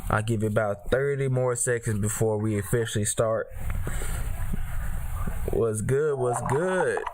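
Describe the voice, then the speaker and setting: A man's voice: medium pitch, measured pace, background noise. A man, hosting a live podcast in a loose, casual way with plenty of fillers. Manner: laid-back, many fillers. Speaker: podcast host. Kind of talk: casual live-stream chat.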